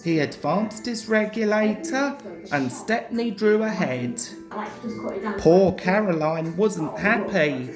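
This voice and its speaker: A woman reading a children's story as an expressive narrator. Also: mid-range voice, slow. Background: music.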